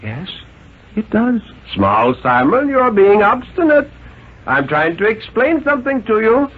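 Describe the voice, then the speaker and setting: A man's voice: sits high, very animated, unhurried, slightly rough, voice pushed up, exaggerated voice. A man playing an overbearing, condescending father who lectures his small son in a pompous, emphatic way, in a 1950s radio reading. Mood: insistent, lecturing. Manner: pompous, insistent, jolly-patronizing, emphatic. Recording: tinny, noisy 1950s radio recording.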